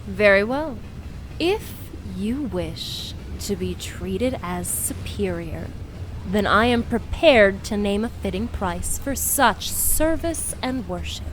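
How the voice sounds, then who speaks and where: A woman playing a proud, formal dean of a science society in a comedic audio drama, speaking in a measured, earnest way. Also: medium pitch, animated, a little hiss or hum, rough recording. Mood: proud, solemn.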